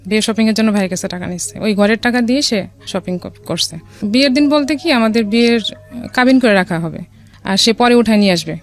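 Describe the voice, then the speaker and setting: A woman from Bangladesh with a Bangladeshi accent, telling a personal story as a radio guest in a casual, somewhat halting way. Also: a little high, lively intonation. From Dhaka, Bangladesh. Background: music.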